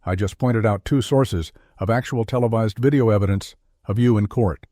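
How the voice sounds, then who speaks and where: A performer playing a calm, needling mock reverend who hosts a scripted satirical interview in a measured, deadpan way. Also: mid-range voice, no echo, clean studio sound, natural voice. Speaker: host.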